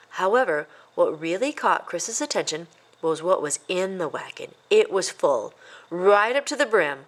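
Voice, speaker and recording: Animated woman reading a children's story. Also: lowish voice. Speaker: storybook reader. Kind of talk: scripted children's story narration.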